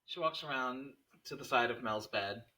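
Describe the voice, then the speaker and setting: A man's voice: medium pitch, moderate pace, pushed and bright, slight background noise, poor audio. A man reading stage directions aloud as narrator of a screenplay table read.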